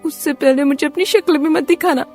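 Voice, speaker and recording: A woman voicing an angry, fed-up wife and mother in a moral-story cartoon, scolding in a sharp, commanding way. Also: high-pitched, fairly quick, faint music behind, pitched above the performer's own voice.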